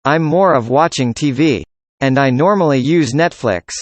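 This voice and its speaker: A man reading a student's part in a scripted classroom conversation, with an even, measured delivery. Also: mid-range voice, no room sound. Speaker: student in a dialogue.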